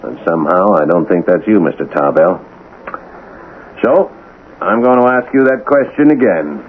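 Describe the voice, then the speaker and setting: An actor playing a blunt, cynical homicide lieutenant with a hard-boiled, skeptical manner, in a 1980s radio drama style. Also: male voice, low-pitched, expressive, moderate pace. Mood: cynical, pressing. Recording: tinny, noisy old radio broadcast.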